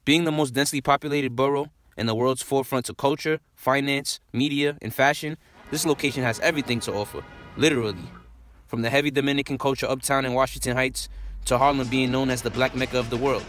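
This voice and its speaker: A man's voice: medium pitch, fairly even intonation, fast talker, a bit bright. A man reading a scripted documentary voice-over in a proud, upbeat tone. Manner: proud, upbeat city introduction. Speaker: documentary narrator.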